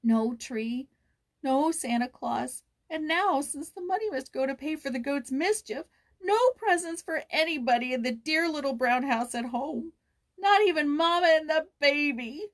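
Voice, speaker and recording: A woman's voice: high-pitched, expressive, a little slow, pitched above the performer's own voice. A woman voicing a brave, imaginative, kindly girl from a children's storybook, with a theatrical manner. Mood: sobbing, gloomy. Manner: theatrical, reassuring.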